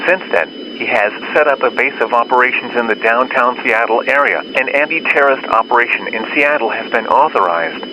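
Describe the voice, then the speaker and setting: A man's voice: mid-range voice, brisk, hardly any pauses, crowd noise, low-quality recording. A man reading scripted official emergency warnings as a formal broadcast announcer. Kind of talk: scripted law enforcement warning narration.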